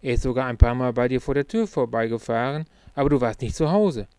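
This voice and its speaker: A man voicing a brisk, jovial salesman and old friend in an audiobook, with a breezy, emphatic delivery. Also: mid-range voice, dark tone, clear, resonant tone, no room sound, close to an everyday voice. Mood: chatty, insistent. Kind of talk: audiobook character dialogue.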